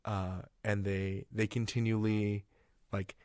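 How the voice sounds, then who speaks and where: A man hosting a casual conversational interview, speaking in halting, thoughtful phrases with tentative turns. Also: fairly low pitch, little pitch movement, a little mellow, dry recording, clean studio sound. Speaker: interviewer, podcast host.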